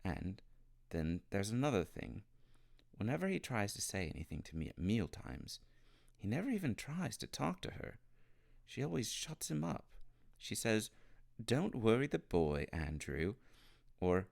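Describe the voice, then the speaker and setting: A man's voice: on the low side, wildly swooping pitch, a little slow, creaky, darkish tone, full character voice. A man voicing a miserable, defiant young boy in a storybook, with a plaintive, insistent manner. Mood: secretive, earnest. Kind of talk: storybook character reading.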